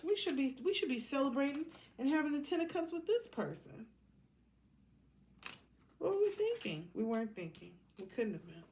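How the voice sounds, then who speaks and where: A woman's voice: high-pitched, very animated, moderate pace, darkish tone. Woman tarot reader over background noise. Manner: informal, blunt, chuckling, drifting between asides. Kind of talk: casual intuitive tarot reading monologue.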